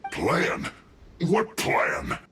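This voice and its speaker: A man's voice: medium pitch, huge pitch swings, very slow, pushed and bright, exaggerated voice. A man voicing a defensive, hapless villain soldier in a video game, indignant and pleading in his delivery. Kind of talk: scripted video game voice acting. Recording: roomy echo, noisy game ambience behind.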